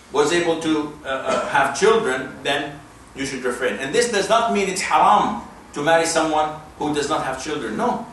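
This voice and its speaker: A man from Saudi Arabia, giving a religious lecture as an Islamic preacher in an emphatic, deliberate way with rhetorical questions. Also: on the high side, a bit bright. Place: mosque hall, talk to an audience. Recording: distant, very echoey room, faint noise.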